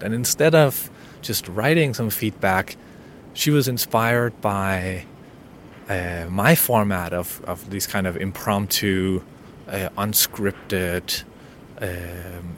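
A lowish-voiced man whose first language is Danish muses aloud on his own podcast. He stumbles and restarts often, speaking intimately and unscripted. A faint rumble of a vehicle sits underneath. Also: slow pace. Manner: hesitant, many restarts and fillers, intimate. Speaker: podcast host. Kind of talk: unscripted solo podcast monologue.

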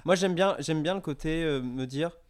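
A man's voice: a little high, moderate pace, clear, resonant tone. A young man co-hosting a podcast, speaking French in an informal, slangy conversational style. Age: young adult. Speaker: podcast co-host. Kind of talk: casual conversation.

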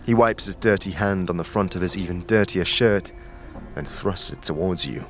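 A male narrator with a very low, deep voice tells a story steadily in the second person. His delivery is descriptive and scripted. Music plays clearly behind him. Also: moderate pace.

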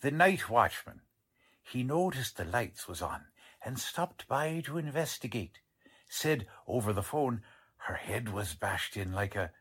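Guy doing a gruff old-time radio cop, blunt and folksy, broken grammar, voice swinging up and down a lot. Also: mid-range voice, big pitch swings, a little slow, fairly bright tone, full character voice. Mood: matter-of-fact. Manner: folksy, ungrammatical street talk. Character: blunt, plodding middle-aged male police sergeant. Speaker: police sergeant. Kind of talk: 1940s radio drama acting.

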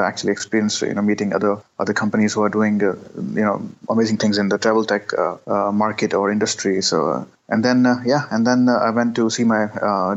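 A man in his thirties from Pakistan, a guest answering interview questions with many pauses, fillers and restarts. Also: fairly low pitch. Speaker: guest, entrepreneur. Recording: dull lo-fi sound.

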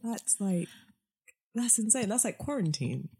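A woman interviewing a guest in a casual, upbeat way, reacting with quick interjections. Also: medium pitch, lively intonation, crowd noise.

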